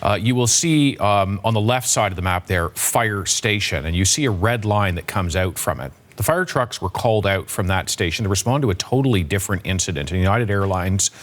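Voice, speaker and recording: A man anchoring a broadcast news interview, putting questions in measured, emphatic phrases. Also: fairly low pitch, animated.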